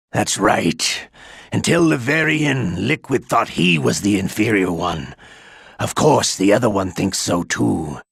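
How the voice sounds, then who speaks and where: Gravelly, husky, low-voiced scheming spy. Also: male voice, fairly bright tone, dry recording, studio quality, full character voice. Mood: sly, gloating. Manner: measured, obsequious, insinuating. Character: sly older male double agent, smugly deferential to his master. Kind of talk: video game voice acting, one side of a phone report.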